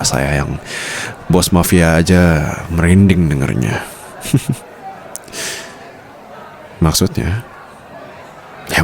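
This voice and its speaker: A man's voice: rumbling low, vocal fry, a little mellow, a little hiss or hum, full character voice. A man playing a suave, protective mafia boss boyfriend in an intimate, teasing ASMR roleplay.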